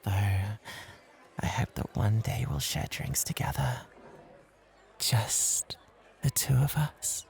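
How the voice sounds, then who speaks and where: A man's voice: medium pitch, big pitch swings, slow pace, gravelly, pauses often, darkish tone, heavily acted. A man playing a competitive, flirtatious club host in an ASMR roleplay, with a coy, playful and coaxing way of talking. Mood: playful rivalry.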